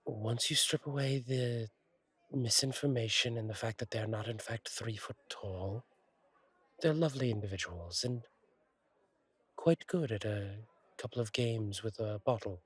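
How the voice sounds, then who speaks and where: A man playing a kindly, easygoing fallen angel bartender in an intimate audio roleplay, talking in a husky, wry, conversational way with unhurried pauses. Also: lowish voice, dry recording. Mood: fond, amused.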